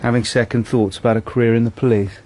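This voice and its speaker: An actor playing a matter-of-fact, world-weary police detective in a naturalistic radio drama, casual and pragmatic. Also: male voice, fairly even intonation, fast, background noise, natural voice. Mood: tired, low-key. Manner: casual, pragmatic, low-key.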